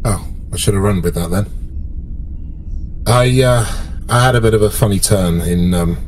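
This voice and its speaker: A man's voice: lowish voice, measured pace, slight background noise, lightly acted, poor audio. A man voicing an injured, understated starship commander in a tabletop roleplay, hesitant and drily brushing off his harm. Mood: wry, sheepish.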